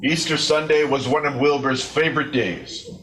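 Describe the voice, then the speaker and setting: A man reading a scripted story aloud as a readers theater performer, deliberately and with comic emphasis. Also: a little high, a bit bright, rough recording.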